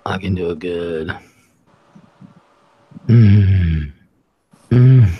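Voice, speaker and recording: A man's voice: low-pitched, very slow, muffled recording, a little hiss or hum. A man hosting a live call-in show, teasing and flirting with callers and laughing often. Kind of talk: casual flirty call-in chat.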